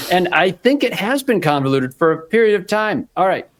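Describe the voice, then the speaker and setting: Upbeat lively man in his forties. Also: high voice. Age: 40s. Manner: upbeat, laughs often. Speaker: podcast host. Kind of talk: casual panel chat and read ads.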